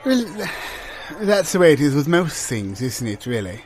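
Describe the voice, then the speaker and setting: A man's voice: mid-range voice, very animated, moderate pace, close to an everyday voice. A man playing a cheerful, pompous homespun philosopher in improvised comedy, repeating himself insistently over a noisy background. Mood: pontificating, cheerful.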